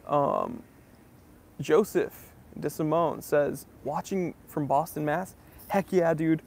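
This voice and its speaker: A man's voice: a little high, slow, gravelly, darkish tone. A man from New England hosting a casual livestream Q&A. He reads viewer comments aloud and answers them in a friendly, easygoing way. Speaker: host of a watch channel.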